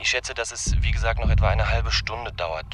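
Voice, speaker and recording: An actor in a German radio drama playing an anxious, apologetic male defence lawyer who hedges and placates haltingly. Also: fairly low pitch, lightly acted. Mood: placating, uneasy. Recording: music behind the voice.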